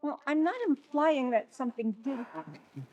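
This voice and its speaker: A woman playing an earnest, flustered schoolteacher in a stage play, speaking diplomatically with halting repetitions. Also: high voice, sing-song, darkish tone, muffled recording. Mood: diplomatic. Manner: hesitant, stammering, diplomatic.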